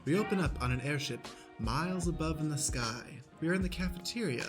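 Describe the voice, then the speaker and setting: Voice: medium pitch, expressive, brisk. Announcer narrating over prominent music. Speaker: stage manager and narrator. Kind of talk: scripted-sounding announcing of scene directions.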